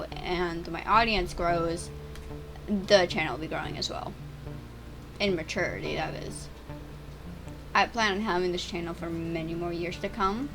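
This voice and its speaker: A teenage girl chatting and stammering through gameplay commentary on her own channel, with a voice she calls a little deeper and rougher over time. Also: rough recording. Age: teens. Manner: chatty, stammering, restarts words. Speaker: gaming YouTuber.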